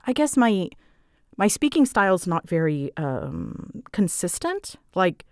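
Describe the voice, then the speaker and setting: A woman with a slightly low voice rambles through a casual Q&A. She is dramatic and scattered, poking fun at herself as her delivery swoops up and down. The sound is close and dry, as from a small closet. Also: sing-song, unhurried, vocal fry, darkish tone, no room sound. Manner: scattered, self-deprecating, giggly. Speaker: ASMR audio creator and voice performer. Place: small closet used for recording.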